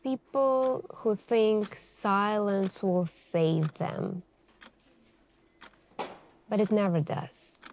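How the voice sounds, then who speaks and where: A woman doing an ASMR roleplay as a suspicious female detective, questioning in a deliberate, pointed way with many pauses. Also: medium pitch, steady tone, darkish tone, a little hiss or hum. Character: calm, suspicious, probing female police detective. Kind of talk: ASMR interrogation roleplay, slow deliberate delivery.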